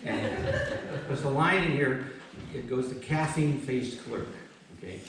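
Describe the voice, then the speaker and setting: A man speaking to a live gathering as a poet, reflective and halting, moving between informal talk and reading. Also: medium pitch, a bit bright. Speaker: poet presenting his work. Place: book launch event in an echoey room. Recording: echoey room, distant mic, faint noise.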